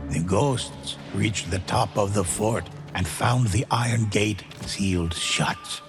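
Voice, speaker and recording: A man narrates game cutscenes in a grave, measured way. His voice is very deep and gravelly, and he takes his time with each line. Loud music runs under him.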